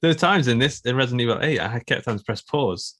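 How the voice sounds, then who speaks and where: A man who is a guest on a podcast, chatting in an animated, playful way and laughing often. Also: medium pitch, fast talker. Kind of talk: casual podcast chat.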